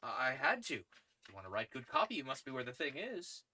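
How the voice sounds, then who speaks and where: A woman's voice: very deep voice, big pitch swings, brisk, bright, forceful tone, voice pushed up, full character voice. A reader doing an eager, stammering young man. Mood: earnest. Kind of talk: audiobook character reading.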